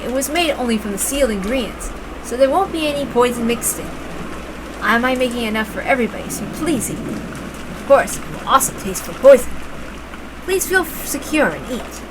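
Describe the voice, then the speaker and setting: A woman's voice: fairly high pitch, expressive, moderate pace, noisy background, close to an everyday voice. A woman voicing a cheerful male mansion cook, eager to feed everyone, polite and earnest in manner. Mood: earnest, reassuring.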